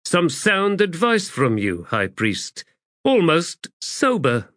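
A man performing a commanding woman officer in a fantasy audiobook. The voice is lifted well up in pitch, clipped, formal and cool, in a dry recording. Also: animated, takes it slowly, a bit bright, dry recording, exaggerated voice. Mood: stern. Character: stern, commanding female military leader.

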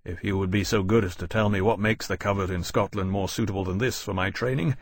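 Man voicing a courteous, husky naval officer. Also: low-pitched, fairly even intonation, fast, no room sound. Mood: puzzled. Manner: formal, courteous, restrained. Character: polite, principled male naval officer, reserved and dutiful.

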